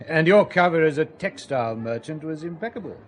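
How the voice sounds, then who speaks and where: A man playing a smooth, evasive intelligence go-between in an audio drama, formal and understated as he persuades. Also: fairly high pitch, animated, a little fast, slight background noise, natural voice. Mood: smooth, coaxing.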